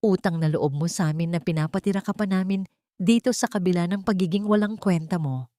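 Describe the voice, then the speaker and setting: A woman's voice: lowish voice, a little fast, mellow, dry recording, clean studio sound, close to an everyday voice. A woman voicing a cruel, haughty older mother-in-law in an audio drama, berating and contemptuous. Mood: scornful, berating.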